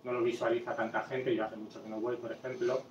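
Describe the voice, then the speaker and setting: A man's voice: mid-range voice, level tone, quick pace, muffled recording. A man in a casual discussion, pressing his point in an insistent, conversational way. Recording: distant, echoey room with noise.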